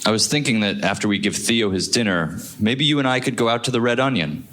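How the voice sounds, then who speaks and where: A man's voice: lowish voice, animated, quick pace, a bit bright. A man acting a mild, apologetic husband and aspiring novelist in a live radio play, understated and earnest. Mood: tender.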